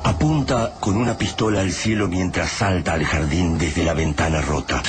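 Deep-voiced Argentine male actor as radio narrator. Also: normal speed, natural voice. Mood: grave, tense. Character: grave male chronicler narrating an apocalyptic tale. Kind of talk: dramatic scripted radio narration. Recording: narration over loud noisy soundscape.

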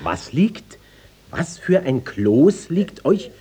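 An actor playing a stern, impatient official in a radio play, with a husky voice and clipped, insistent questioning. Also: male voice, fairly high pitch, big pitch swings, takes it slowly, dark tone, exaggerated voice. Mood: stern, exasperated. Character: stern, impatient supervising official, exasperated and authoritative. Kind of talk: classic stage-style radio drama acting.

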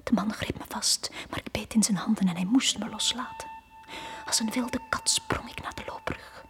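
An actress playing a drowned young girl in a radio play, telling her story in a hushed, breathy whisper. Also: fairly high pitch, huge pitch swings, moderate pace. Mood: tense, haunted. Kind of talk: dramatic radio play monologue.

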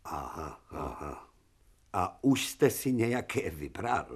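A man's voice: low voice, sing-song, husky, heavily acted. A man playing an irritable, refined older professor in a radio drama, ironically polite and exasperated. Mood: ironic.

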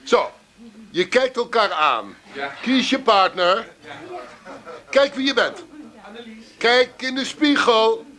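Gushing high-voiced male guru. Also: very animated, slow, long pauses, pushed and bright, exaggerated voice. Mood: exhorting, gushing. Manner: coaxing, repetitive encouragement. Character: gushing, patronising male therapy group guru, pushy and soothing. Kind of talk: radio play acting.

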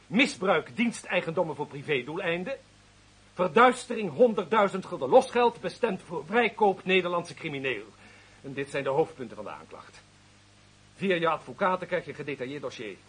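An actor in a radio drama playing a vindictive, power-drunk official, theatrical and menacing, moving between hissed threats and bellowing. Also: male voice, a little high, expressive, moderate pace, a bit bright, slightly rough. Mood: gloating, accusing.

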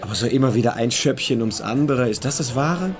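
An actor playing a calm, philosophical and superior young friend in a literary radio play. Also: male voice, medium pitch, brisk, music in the background, close to an everyday voice. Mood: questioning, superior.

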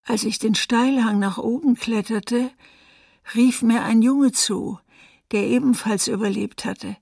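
Female survivor, documentary radio drama. Grave, measured, deliberate pace, with fry in the voice. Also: fairly high pitch, fairly even intonation, a little mellow, dry recording, clean studio sound, heavily acted. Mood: grave, restrained. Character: woman survivor of a wartime massacre recounting her escape.

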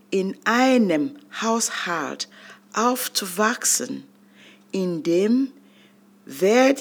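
An older woman from Cameroon, whose first language is not German, reading her own memoir aloud in a deliberate way with long pauses. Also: mid-range voice. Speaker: autobiographical reader.

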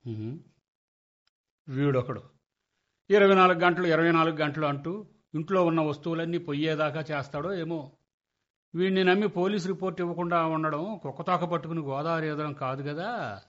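A sharp, high-pitched, exasperated middle-aged dad played by a man in a radio comedy. He scolds with wry, theatrical sarcasm. The sound is slightly dull and lo-fi. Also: moderate pace, pauses often, close to an everyday voice. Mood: grumbling. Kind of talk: Telugu comedy radio play acting. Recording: dull lo-fi radio play recording.

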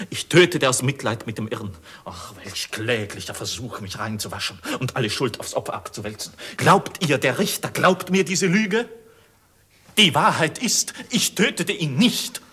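An actor playing an anguished young goldsmith's journeyman in a 1950s German radio drama, with husky, declamatory delivery that moves from hushed to impassioned. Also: medium pitch, moderate pace. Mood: bitter, desperate. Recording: muffled vintage 1950s radio recording.

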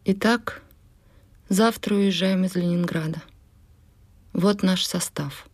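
A woman voicing an exhausted mother who is leaving a besieged city, reading her diary quietly and soberly. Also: lowish voice, slow, no room sound, clean studio sound.

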